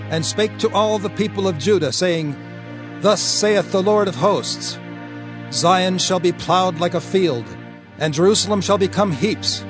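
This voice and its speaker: A man playing a grave elder addressing an assembly in a declamatory, dramatized scripture reading. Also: sits high. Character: grave male elder recalling past prophecies.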